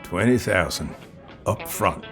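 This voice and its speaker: Audio drama gunslinger: deep, stubborn, gruff cowboy for hire, old-time turns of phrase, music underneath. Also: male voice, expressive, slow pace, full character voice. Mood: curt, guarded. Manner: laconic, ornate old-time Western phrasing. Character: proud, gruff, hard-bargaining male space cowboy gunslinger for hire.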